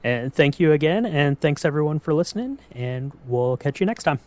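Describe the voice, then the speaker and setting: A man's voice: on the high side, expressive, normal speed, a little mellow. A man hosting a podcast in a casual, conversational way. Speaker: podcast host. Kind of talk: casual chat.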